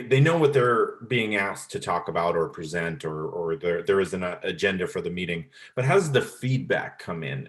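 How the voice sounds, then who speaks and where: Loud, encouraging male podcast host. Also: on the low side. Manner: loud, self-described big voice; encouraging, casual questions. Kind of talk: casual interview chat.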